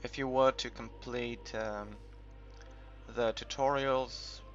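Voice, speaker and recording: A man's voice: medium pitch, little pitch movement, takes it slowly, fairly bright tone. A man from the UK giving a casual, unscripted game walkthrough, rambling and hesitant as he thinks aloud. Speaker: gamer making a game tutorial. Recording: muffled, dull recording with background music.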